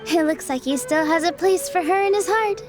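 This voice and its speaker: A woman playing a gentle, earnest young woman in a video game dub. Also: very high voice, brisk. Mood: weary, relieved. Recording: music behind the voice.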